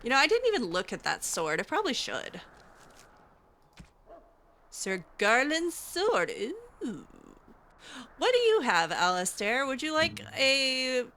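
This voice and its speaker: A woman's voice: sits high, huge pitch swings, slow, long pauses, sharp tone, a little hiss or hum. A woman playing through a video game in character, chatting casually in a hesitant, wry way. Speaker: gameplay roleplayer voicing her character.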